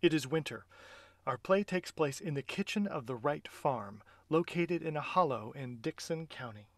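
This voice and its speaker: A man's voice: fairly high pitch, expressive, moderate pace, a bit bright, no echo. A man hosting a live audio play from a closet at home, chatty and playful with whispered asides. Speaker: host and actor of an audio play. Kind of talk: scripted pre-show narration.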